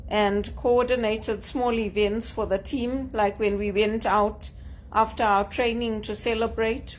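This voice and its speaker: A woman's voice: a little high, a little hiss or hum, poor audio. Woman with a Zimbabwean accent. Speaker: job seeker sharing tips. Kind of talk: casual talk to camera.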